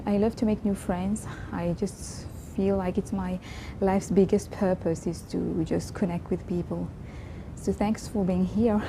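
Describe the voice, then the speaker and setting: A woman in her twenties from Mauritius, whose first language is Creole, talking earnestly and reflectively in a personal vlog, pausing and restarting often. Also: mid-range voice, background noise. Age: 20s. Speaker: vlogger. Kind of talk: casual personal vlog talk.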